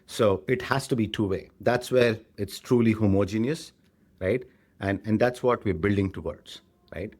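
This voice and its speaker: A man's voice: fairly low pitch, normal speed, a little mellow. A man with an Indian accent answering interview questions as a company chief, explaining conversationally and often checking in with a short tag question. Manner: frequently tags sentences with "right?". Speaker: tech company CEO being interviewed.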